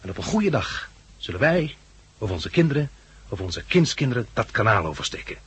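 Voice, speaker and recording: A man's voice: medium pitch, very animated, fairly quick, a bit bright, slightly rough, no room sound, full character voice. An actor playing a resolute, reassuring male survivor and narrator in a 1960s Dutch radio drama. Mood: earnest, hopeful. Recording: noisy 1969 radio play, vehicle sounds.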